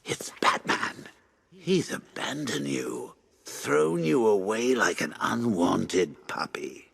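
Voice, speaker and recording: Manic clown villain from a game, gleeful n taunting, drawn out, swoops all over. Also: male voice, fairly low pitch, huge pitch swings, slow, vocal fry, fairly bright tone, slightly rough, heavily acted. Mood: mocking.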